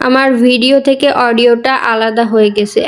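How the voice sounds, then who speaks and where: A woman's voice: a little high, fairly even intonation, moderate pace, smooth. A woman giving a casual, instructional how-to voice-over, mixing in English words. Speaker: tutorial creator.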